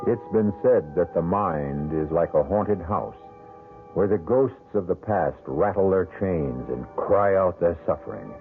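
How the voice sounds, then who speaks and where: A male host giving scripted, measured and solemn narration for a 1970s radio mystery show, in a tinny radio broadcast. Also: sits low, over music.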